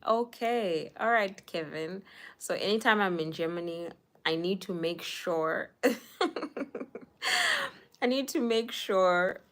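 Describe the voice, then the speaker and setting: Slow-talking woman from Zimbabwe, chatty. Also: fairly low pitch, a bit bright. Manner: chatty, greets viewers by name, laughs often, some whispered asides. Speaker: livestream host. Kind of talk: casual live chat reading viewer comments. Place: indoors at home. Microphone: phone.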